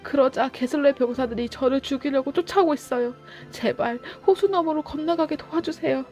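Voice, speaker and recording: Woman acting out a desperate, high-pitched pleading shepherd. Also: brisk, darkish tone, faint music behind, natural voice. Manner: urgent, begging.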